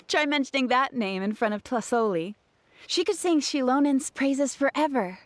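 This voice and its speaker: A woman playing an earnest, grateful gem artisan in a video game, telling things in a soft-hearted way. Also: high voice, no echo.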